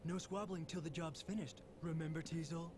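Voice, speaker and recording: A man playing a high-pitched smug pirate. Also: fairly even intonation, brisk, natural voice. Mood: commanding. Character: smug, needling male pirate rival. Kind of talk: video game cutscene acting. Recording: noisy, distant game audio.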